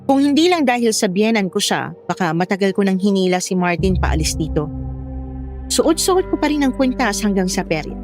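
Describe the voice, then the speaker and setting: Filipina actress as young expecting wife telling her creepy story, mid voice, music loud behind her. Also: medium pitch, sing-song, moderate pace, close to an everyday voice. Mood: uneasy, suspicious. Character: pregnant young wife recounting an aswang horror story. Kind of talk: first-person scripted horror story narration.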